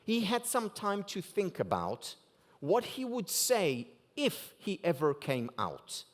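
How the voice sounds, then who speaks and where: Animated Israeli man giving a keynote. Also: a little high. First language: Hebrew. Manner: rhetorical questions with strong rises and drops for emphasis. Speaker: keynote speaker. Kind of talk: motivational keynote lecture. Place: banquet hall at a gala dinner.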